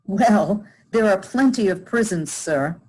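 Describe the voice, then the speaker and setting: An earnest charity lady in a radio play, performed by a woman. She is courteous and deferential, with a husky edge and lively rises and falls in her voice. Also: mid-range voice, unhurried, rough recording. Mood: earnest, polite.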